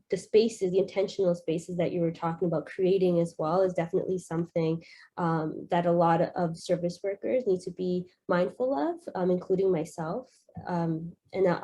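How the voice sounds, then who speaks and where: Young canadian woman, mellow voice, friendly, lots of restarts. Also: lowish voice. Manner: friendly, chatty, with many ums and restarts. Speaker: youth coordinator. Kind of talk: online presentation. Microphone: video call.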